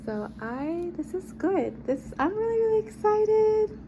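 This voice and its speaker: A woman's voice: very high voice, very animated, measured pace, dark tone, a little hiss or hum, rough recording. An American woman chatting casually to camera as a craft vlogger, informal and thinking aloud as she goes.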